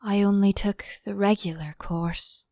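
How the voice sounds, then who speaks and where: A woman voicing a melancholy, touchy old male turtle creature in a storybook, with a drawn-out, sighing delivery. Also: mid-range voice, fairly even intonation, darkish tone, dry recording, clean studio sound, lightly acted. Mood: sighing, wistful. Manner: slow, drawn-out, mournful delivery. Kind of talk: audiobook character voice.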